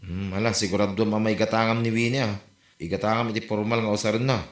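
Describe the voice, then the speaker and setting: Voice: mid-range voice, fairly even intonation, quick pace, lightly acted. A performer with an Ilocano accent playing a nagging, teasing character who keeps questioning in a comedy drama. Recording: echoey room with music behind.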